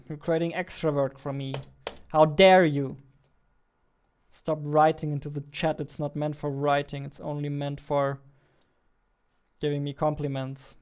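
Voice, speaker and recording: Young guy streaming from home, highish clean voice, joking around while he works. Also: level tone, moderate pace, darkish tone, smooth. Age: 20s. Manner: playful, joking. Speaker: game developer streaming. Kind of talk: casual livestream chat while working. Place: indoors, small home room. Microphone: studio microphone on boom arm, headphones.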